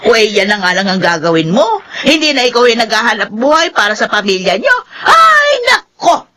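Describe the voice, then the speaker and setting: A woman's voice: a little high, big pitch swings, normal speed, pushed and bright. A woman playing an elderly, dramatic grandmother in a Filipino radio drama, given to nagging and exclamations. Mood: exasperated scolding. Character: elderly scolding grandmother and mother-in-law, doting on grandsons.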